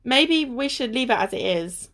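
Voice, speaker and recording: Female amateur actor as bossy young adventurer, high voice, lively swooping pitch, sardonic banter, radio drama. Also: normal speed. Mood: matter-of-fact, teasing. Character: wary, bossy, teasing young woman on a treasure hunt. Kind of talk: amateur radio play acting.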